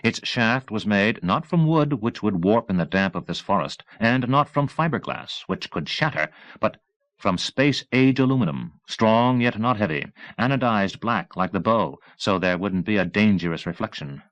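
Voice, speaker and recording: A low-voiced male audiobook narrator reads dramatic fiction. His phrasing is measured and deliberate, and the sound is close and dry, with very little room. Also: expressive, fairly bright tone, dry recording, low-quality recording. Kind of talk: scripted novel narration, dramatic.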